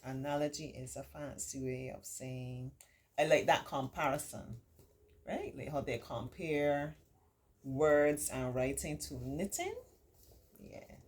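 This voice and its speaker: A woman reading a picture book aloud to children, with encouraging, simply explained asides and expressive, drawn-out emphasis. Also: low voice, a little hiss or hum. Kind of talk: read-aloud story time with chatty asides to children.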